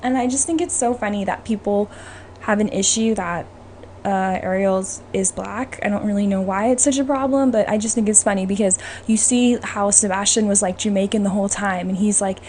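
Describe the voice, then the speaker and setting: A woman's voice: mid-range voice, steady tone, moderate pace, a little mellow. Woman podcaster, chatty, with background noise. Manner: informal, conversational, opinionated. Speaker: podcast host. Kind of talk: casual chat about films. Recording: noisy room ambience behind the voice.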